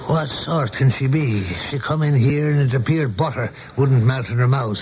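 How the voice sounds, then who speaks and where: An actor playing a protective, nosy barroom crony in a radio drama, with colloquial, rough-spoken phrasing. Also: male voice, mid-range voice, moderate pace, darkish tone, highs cut off, close to an everyday voice. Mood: nosy, puzzled. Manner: colloquial, folksy. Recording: noisy old radio broadcast.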